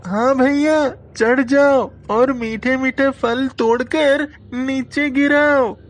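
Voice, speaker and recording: A man voicing an excitable, playful nine-year-old younger brother in a children's cartoon story. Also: extremely high pitch, takes it slowly, pitched above the performer's own voice. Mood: eager. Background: music.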